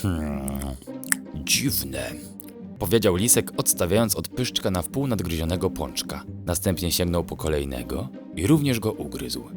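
A man's voice: sits low, expressive, moderate pace, few pauses. A man reading a scripted children's bedtime story as its narrator. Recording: music under the voice. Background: music.

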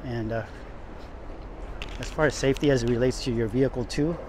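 A man's voice: medium pitch, steady tone, brisk. A man giving a casual, rambling walking tour as a vlogger, often repeating and rephrasing himself as he goes. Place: outdoors, walking on downtown sidewalks. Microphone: handheld camera while walking. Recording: clear outdoor city street noise, traffic.

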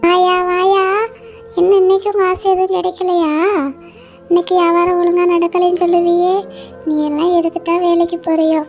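A woman's voice: extremely high pitch, completely flat delivery, moderate pace. A woman voicing a greedy, nagging female crow wife in a cartoon, scolding and bossy. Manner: scolding, bossy, sharp-tongued. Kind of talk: cartoon voice acting. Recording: light music bed.

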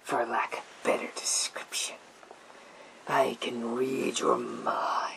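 A man voicing a menacing psychic villain in a gas mask, in a theatrical, drawn-out ASMR roleplay whisper with a creaky edge. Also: mid-range voice, wildly swooping pitch, heavily acted. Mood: eerie, menacing. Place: small indoor room with bookshelves.

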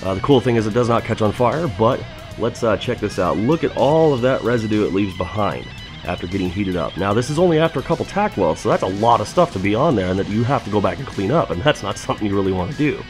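A man's voice: on the low side, animated, fast, soft background music. Chatty man demoing in a shop. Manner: upbeat and chatty, with filler "uh"s. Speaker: fabrication show host. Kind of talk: casual how-to demonstration. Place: metal fabrication shop, indoors.

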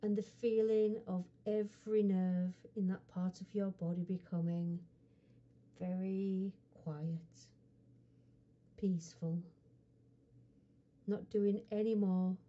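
A woman hypnotherapist guiding a relaxation session in a soothing, unhurried manner, pausing often between short phrases. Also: mid-range voice, fairly even intonation, mellow, dull audio. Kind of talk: guided hypnosis relaxation script.

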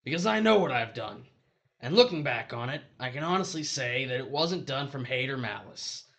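A man playing a dead, abusive hustler of a husband in an audio drama, pleading and justifying himself in a gravelly voice. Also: medium pitch, animated, normal speed, fairly bright tone. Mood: self-justifying.

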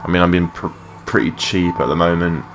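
A young man with a low voice giving rambling, matey, sweary gameplay commentary in a casual unscripted way. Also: fairly even intonation, brisk, music in the background. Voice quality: low-pitched voice. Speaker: gaming video creator. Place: his room at home. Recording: clearly noisy home mic recording.

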